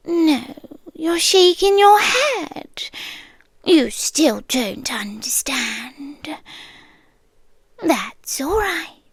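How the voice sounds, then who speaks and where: A British woman playing a possessive, softly menacing yandere girlfriend in an ASMR roleplay, breathy and whispery, sweetly condescending, close on the mic. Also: sits high, big pitch swings, drawn-out words, pauses often, dry recording, exaggerated voice. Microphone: close microphone.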